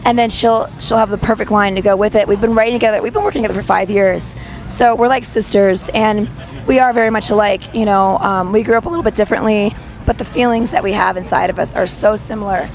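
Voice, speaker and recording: Hoarse woman singer, faint music. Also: medium pitch, steady tone, fast talker, poor audio. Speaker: band singer. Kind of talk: casual interview answers.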